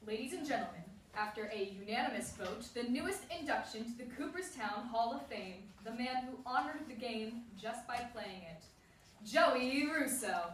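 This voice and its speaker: A woman's voice: on the high side, animated, normal speed. A woman reading stage directions as scripted narration at a staged play reading. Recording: very echoey room, far mic, clear noise.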